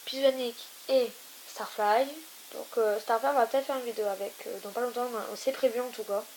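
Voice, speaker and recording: A young woman chats casually while playing a building game, rambling and teasing her co-host. She trails off into strings of fillers when she runs out of things to say. Her voice sits fairly high, over a constant hiss. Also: brisk, low-quality recording. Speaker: gaming YouTuber. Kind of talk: casual let's-play chat. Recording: hissy home recording. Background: steady hiss.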